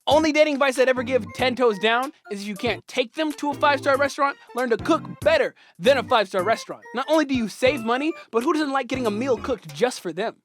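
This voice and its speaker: A man's voice: extremely high pitch, lively intonation, quick pace, few pauses, a bit bright, faint music behind. A young man telling a comedic personal story in a playful, slangy, punchy way, as host of his channel.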